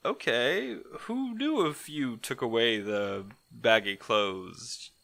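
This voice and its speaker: Cocky male heist-crew wisecracker, played by a man: bright voice, swooping pitch, teasing and theatrical, audio drama style. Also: animated, slow, gravelly, no room sound, full character voice. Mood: flustered teasing. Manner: theatrical, teasing, exaggerated delivery.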